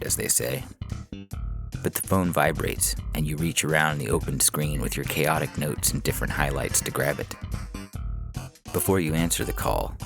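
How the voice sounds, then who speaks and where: A man with an extremely low voice narrates short fiction. There is a heavy creak and gravel in his delivery, and music plays underneath as he reads. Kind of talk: scripted story narration in second person.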